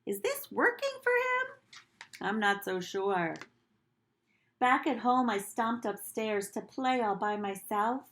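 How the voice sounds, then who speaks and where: A woman reading a picture book aloud to young children in a deliberate, animated teacher's manner, with little asides to them. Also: a little high.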